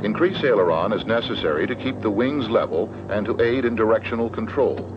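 An American man giving measured, matter-of-fact, formal instructional narration in the manner of a 1970s training film. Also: on the low side, animated. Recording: dull, noisy old 16mm film soundtrack.

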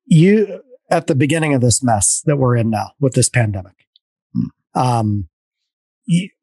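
A middle-aged man hosting a podcast over a video call, telling rambling, animated stories with many restarts. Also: mid-range voice, unhurried, breathy edge, dry recording. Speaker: podcast host. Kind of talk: casual video-call conversation. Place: dim home room. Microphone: headset on a video call.

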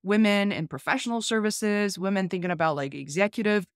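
A woman's voice: medium pitch, fairly quick, no room sound. Chatty woman host, dry close sound. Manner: chatty, thinking aloud. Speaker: host demonstrating an app. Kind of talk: casual chat to camera and phone. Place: small home room, indoors.

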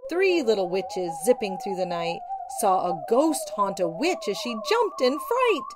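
A middle-aged woman hosting children's storytime, animated and sing-song, speaking directly to young listeners. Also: medium pitch, a little slow, echoey room, over music. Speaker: children's read-aloud show host. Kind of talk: children's storytime presenting and picture-book reading.

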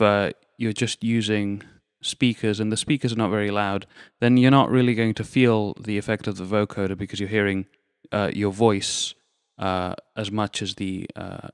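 Male tutorial presenter: fairly low, measured, explains step by step, very dry close-mic sound. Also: smooth, dry recording. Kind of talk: instructional product demonstration, explaining step by step. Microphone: external dynamic microphone, close.

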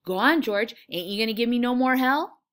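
A woman's voice: mid-range voice, lively intonation, fairly quick, natural voice. Woman putting on an eager, childlike big man. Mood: eager, insistent. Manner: folksy, ungrammatical rural speech.

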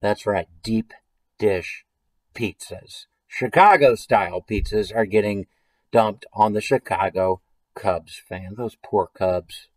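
A man's voice: on the low side, slow pace, dry recording, poor audio. Playful American man with a dry, close sound. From St. Louis area, United States. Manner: playful, chatty, addressing listeners directly. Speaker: children's author and illustrator reading his book. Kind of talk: children's story time read-aloud with trivia quiz. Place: small room at home, indoors.

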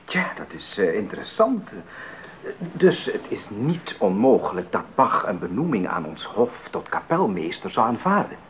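An actor playing an enthusiastic, music-loving count in a 1980s radio play, with effusive, formal aristocratic diction. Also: male voice, fairly low pitch, sing-song, normal speed. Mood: puzzled, thoughtful. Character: enthusiastic, courtly German count, music lover. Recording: dull, noisy 1980s radio recording.

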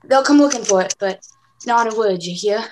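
A woman playing an ailing, desperate old pirate captain with gruff sailor talk in an amateur radio play. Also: fairly high pitch, moderate pace, darkish tone, a little hiss or hum, natural voice, low-quality recording. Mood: desperate, failing.

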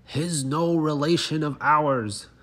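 A man's voice: fairly high pitch, slow pace, a bit bright, pitched above the performer's own voice. A man voicing indignant, bewildered pond frogs in a children's storybook, exclaiming in an affronted way.

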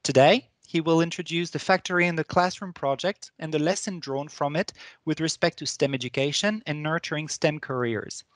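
A man's voice: a little high, dry recording. Dry-sounding formal male webinar host. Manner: formal, read-out. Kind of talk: scripted introduction.

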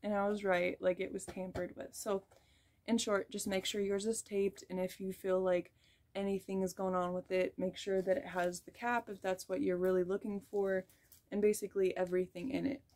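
A woman's voice: medium pitch, flat delivery, moderate pace, a little mellow. A young woman giving a casual, rambling hair dye tutorial in a small bedroom, filler words throughout. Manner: rambling, chatty, heavy use of "like" and "um". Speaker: beauty vlogger.

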